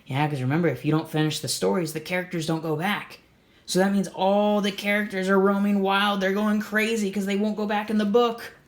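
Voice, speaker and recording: An expressive storybook reader doing an animated read-aloud for children, explaining and asking questions along the way. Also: medium pitch, a little fast.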